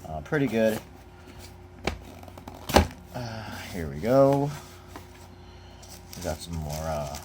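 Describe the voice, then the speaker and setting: A young man casually chatting while unboxing trading cards at home, rambling with lots of fillers. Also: low voice. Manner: rambling, relaxed, many fillers. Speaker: card collector unboxing. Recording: noisy home recording. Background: crinkling of packaging.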